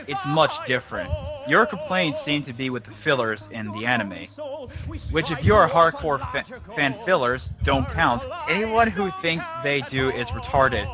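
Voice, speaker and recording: A man giving a dramatic reading of an online argument in a dismissive, snide manner. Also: a little high. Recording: music playing behind the voice. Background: music.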